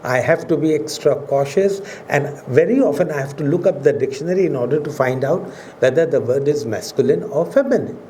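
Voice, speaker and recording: Measured Indian man lecturing formally. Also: a little high, darkish tone, slight background noise. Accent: Indian English. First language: Urdu. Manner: measured, oratorical, with emphatic rises on key words. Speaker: professor giving a public lecture. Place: museum auditorium.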